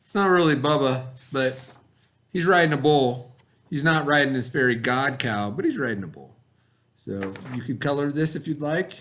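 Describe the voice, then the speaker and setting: A middle-aged man reading a picture book aloud to a class in a folksy storybook manner, pitching his voice up for the characters. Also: animated, moderate pace, a bit bright. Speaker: teacher.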